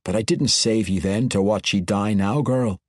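A man voicing a red-bearded male dwarf warrior who speaks in a gruff, growled dwarven dialect. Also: on the low side, moderate pace, no echo, studio quality, lightly acted.

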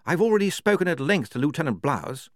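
A man voicing a brisk, polite young army major in a storybook, courteous and officious. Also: on the high side, big pitch swings, fairly bright tone, dry recording, clean studio sound, pitched above the performer's own voice. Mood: courteous, official.

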